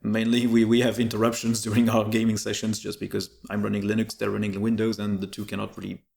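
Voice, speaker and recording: A man's voice: fairly low pitch, completely flat delivery, fast, vocal fry, darkish tone. A man whose first language is French, chatting casually and in easygoing detail as an interview guest. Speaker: interview guest, tech YouTuber.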